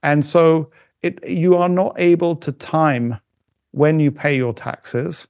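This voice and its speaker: A middle-aged man chats as a podcast guest, his voice sitting a bit high. He talks in a halting way, circling back with restarts and fillers. The sound is dry and close. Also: steady tone, darkish tone, dry recording. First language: not German. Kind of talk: casual conversation.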